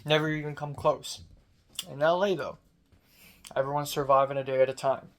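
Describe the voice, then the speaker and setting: A man's voice: a little high, little pitch movement, normal speed, fairly bright tone. A young man from Hong Kong speaking in a casual, late-night diary vlog. His throat is sore and strained, and he rants with sarcasm, swearing and self-mockery. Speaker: vlogger.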